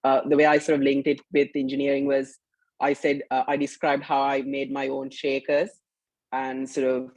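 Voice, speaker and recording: A young man on a video call recalls his application, pausing and hedging with "sort of". His delivery stays flat and even, and the sound is dry, with no room around it. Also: mid-range voice, dry recording, poor audio. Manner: hesitant, many "sort of" and "uh". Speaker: student panelist.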